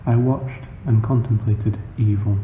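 A man reads a literary short story in a measured, formal manner, as scripted narration. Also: on the low side, steady tone. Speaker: author reading a short story. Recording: muffled phone-like sound, noisy, roomy echo.

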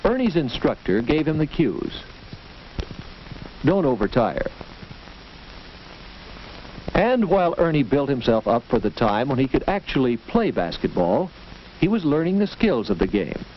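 An American man narrating a scripted 1940s educational film in a measured, instructive storytelling manner, on an old film soundtrack. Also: mid-range voice, big pitch swings. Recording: dull, noisy, clicking 1940s film soundtrack.

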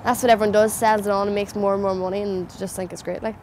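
A woman's voice: medium pitch, flat delivery, fast, a little mellow, a little hiss or hum. A young woman with a Northern Irish accent, talking casually and matter-of-factly in a documentary interview. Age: young adult. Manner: casual, matter-of-fact, local slang.

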